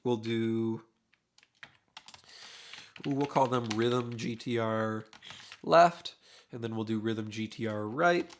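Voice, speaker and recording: A man's voice: lowish voice, slow, long pauses, a little hiss or hum. A young man, a mixing engineer, talking through a studio tutorial in a casual, thinking-aloud way, his voice a little worn. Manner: relaxed, thinking aloud with many uhs while working. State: losing his voice. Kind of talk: casual screen-recorded tutorial. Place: home studio with drums behind.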